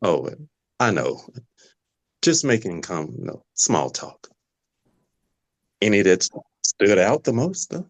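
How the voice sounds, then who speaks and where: A man's voice: lowish voice, wildly swooping pitch, slow pace, pauses often, heavily acted. A man playing a nosy character who feigns casual small talk in a table read. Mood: casually prying.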